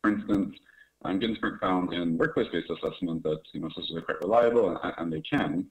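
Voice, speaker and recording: Deep male presenter from Canada on a webcam call, talking through slides with many fillers. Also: a little fast, darkish tone, poor audio. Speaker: academic presenter. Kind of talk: research presentation over video call. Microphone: webcam on video call.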